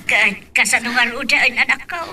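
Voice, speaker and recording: A woman's voice: on the high side, normal speed, bright, forceful tone, far from the mic, a little hiss or hum. A woman with an Ilocano accent playing a concerned, sympathetic mother in an audio love drama, heard from a little way off. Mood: concerned pity. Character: concerned, sympathetic mother consoling her daughter.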